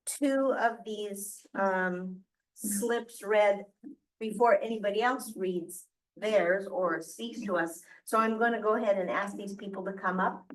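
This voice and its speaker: A woman's voice: mid-range voice, distant mic, poor audio. A woman presiding over a community board meeting, her speech broken by frequent ums and small restarts. She keeps things moving in a plain, conversational way from the head of the table. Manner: hesitant, many ums and restarts. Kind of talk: chairing a public board meeting. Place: community services district meeting room.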